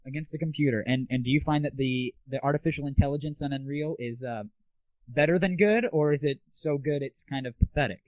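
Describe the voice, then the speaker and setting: A man's voice: mid-range voice, brisk, no room sound. A young man hosting a casual gaming audio show, chatting and asking questions as an interviewer. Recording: tinny, phone-like, band-limited 1990s internet audio.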